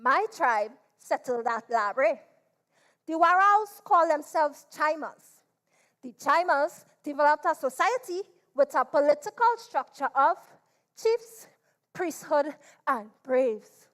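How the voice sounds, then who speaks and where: A woman with a Trinidadian accent tells a folk story in a high voice. She takes her time, stretching and repeating words in a theatrical, staged style of dramatized storytelling. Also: expressive, fairly bright tone. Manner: theatrical, drawn-out delivery with repetition. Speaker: storyteller performing at a literature competition.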